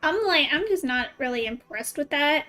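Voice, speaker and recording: A woman's voice: sits high, expressive, normal speed, bright, forceful tone, faint music behind. A woman chatting casually on a game livestream, thinking aloud informally and putting questions to her viewers. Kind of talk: casual livestream chat with viewers while playing.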